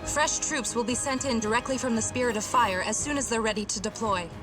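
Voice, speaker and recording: An actress playing a composed, helpful tactical adviser aboard a military ship in a video game. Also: female voice, a little high, brisk, music in the background, lightly acted. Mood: informative, composed. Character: helpful female ship's officer, bright-minded, composed tactical adviser. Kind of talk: dramatic video game cutscene acting.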